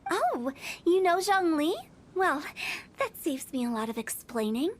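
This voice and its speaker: Cheeky young female funeral director for a game; extremely high pitch, playful and breezy. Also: very animated, unhurried. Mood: breezy, chatty. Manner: breezy, teasing, theatrical. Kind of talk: video game character acting.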